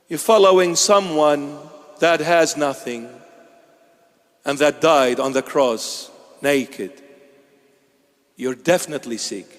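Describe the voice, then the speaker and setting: A man's voice: takes it slowly, long pauses, long reverb tail. Fervent bishop in his fifties. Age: 50s. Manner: emphatic, swinging between low intensity and raised exhortation. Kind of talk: sermon. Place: church.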